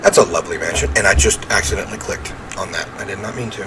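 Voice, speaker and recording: A man's voice: low-pitched, fast talker, background noise. A man reading a visual novel aloud as a game streamer, mixing dramatic narration with wry casual asides. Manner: throat-clearing, wry asides.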